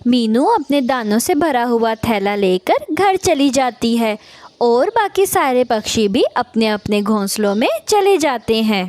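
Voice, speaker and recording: A woman's voice: on the high side, lively intonation, a little slow, darkish tone, no echo. A woman narrating a scripted cartoon story as its storyteller.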